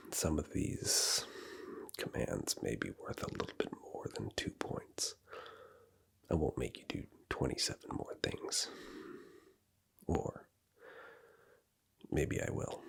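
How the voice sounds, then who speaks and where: Man, ASMR roleplay: breathy, husky, bossy, teasing whisper up close. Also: a bass voice, expressive, slow, long pauses, a bit bright. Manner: commanding and teasing, giving orders and points.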